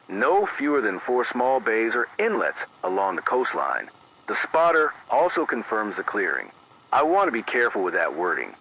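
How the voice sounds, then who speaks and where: An American man playing a careful, sober emergency radio news anchor, reading a scripted bulletin in a measured, deliberate way through an AM radio filter. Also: lowish voice, sharp tone, exaggerated voice, low-quality recording. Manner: measured, deliberate, cautious, repeating key words for weight. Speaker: radio broadcaster. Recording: band-limited AM radio sound with hiss.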